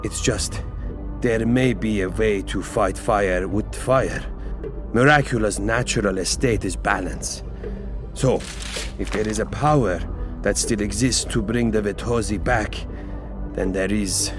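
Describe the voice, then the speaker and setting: A man playing an obsequious, scheming old royal advisor in a sci-fi audio drama, deferential and halting. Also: on the low side, close to an everyday voice. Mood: nervous, deferential. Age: older. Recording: music behind the voice.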